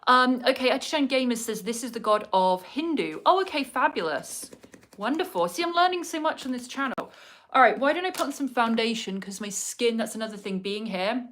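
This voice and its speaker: A woman's voice: fairly high pitch, animated, brisk, a bit bright. Chatty English woman rambling to her chat. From England. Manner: chatty, rambling, with many fillers and asides to the chat. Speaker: livestreaming vlogger. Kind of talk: casual chat while doing makeup. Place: home indoors in cold winter weather.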